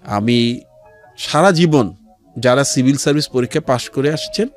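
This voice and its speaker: A middle-aged man with a Bangladeshi accent telling his life story as an interview guest, in a measured way with pauses and some English words mixed in. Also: medium pitch. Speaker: interview guest, civil servant. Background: background music.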